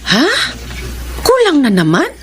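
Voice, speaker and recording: A woman's voice: sits high, huge pitch swings, a little slow, slight background noise, full character voice. A woman playing a lovestruck, defensive widowed mother in a Filipino radio drama. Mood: frustrated.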